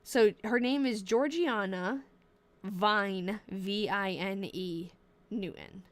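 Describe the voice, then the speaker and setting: A woman's voice: mid-range voice, slow. A young woman in her twenties talks loosely on a live stream, her nose stuffed up and her voice wearing thin. She reads terse old diary lines aloud, then drifts into chatty asides and often breaks off mid-sentence. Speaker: livestream host reading old diaries. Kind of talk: casual live-stream chat while reading diary entries aloud.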